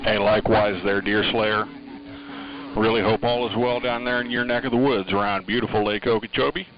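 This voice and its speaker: A man's voice: fairly low pitch, steady tone, brisk, a little hiss or hum. A man talking casually over two-way radio, easygoing and agreeable, using operator lingo. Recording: dull band-limited radio transmission.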